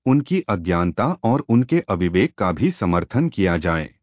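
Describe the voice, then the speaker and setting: A man reads the part of a devout young princess in a storybook tale. The delivery is modest yet principled and formal, with lively intonation. The audio is dry and slightly lo-fi. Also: deep voice, no room sound.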